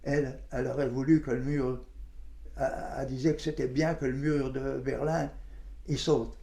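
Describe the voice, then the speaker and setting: A man in his nineties reminiscing in an interview, halting and colloquial, often repeating his phrases. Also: medium pitch, level tone, creaky, warm tone. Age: 90s. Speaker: interviewee, war witness.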